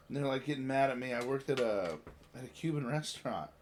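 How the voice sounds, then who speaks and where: A man with an American accent and a medium-pitched voice talks as a casual podcast host. He is blunt and swears freely, with some noise audible behind him. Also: lively intonation, fast. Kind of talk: casual chat.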